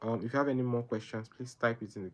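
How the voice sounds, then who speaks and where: A man's voice: mid-range voice, fairly even intonation, quick pace, background noise. A young Nigerian man hosting a live Q&A, giving earnest, rambling advice and often tagging his sentences with "right?". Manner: earnest, rambling, keeps adding "right?" and repeating himself. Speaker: livestream host answering viewer questions.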